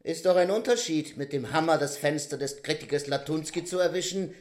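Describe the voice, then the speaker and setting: A man's voice: on the high side, fairly quick, close to an everyday voice. Man playing a curt, blunt demon henchman. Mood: boastful. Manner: curt, unbothered. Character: blunt, matter-of-fact demon hitman. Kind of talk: radio play acting.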